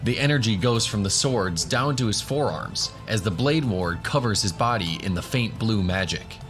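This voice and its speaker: A man's voice: lowish voice, lively intonation, moderate pace, fairly bright tone. A man giving scripted narration for a fantasy audio drama. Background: music.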